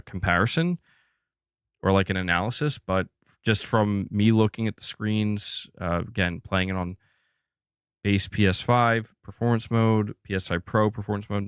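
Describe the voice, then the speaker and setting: Man, low voice, smooth and clear, casual streamer commentary, informal conversational delivery, dry close sound. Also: a bit bright, dry recording. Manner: informal, conversational, responding to chat. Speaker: host of a gaming news livestream. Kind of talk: casual gaming news commentary.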